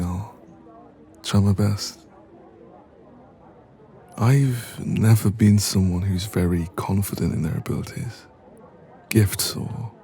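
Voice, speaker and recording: Male actor as insecure young man in ASMR roleplay: very deep, mellow, hesitant delivery. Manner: hesitant, soft-spoken, trailing pauses. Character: insecure, anxious young man confiding in a friend. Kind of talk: intimate ASMR audio roleplay.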